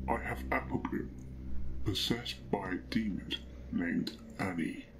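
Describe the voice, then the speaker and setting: A man voicing a brooding, hot-tempered pony police detective in a dramatic story reading, with a grim, deliberate delivery. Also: low voice, whispered. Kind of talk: dramatic fan fiction reading.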